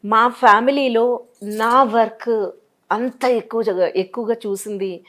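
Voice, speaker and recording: A woman's voice: a little high, fairly even intonation, unhurried, a bit bright. A middle-aged Indian woman, reminiscing in a conversational interview, repeating phrases animatedly and mixing in English words. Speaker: interview guest, dubbing and announcement voice artist.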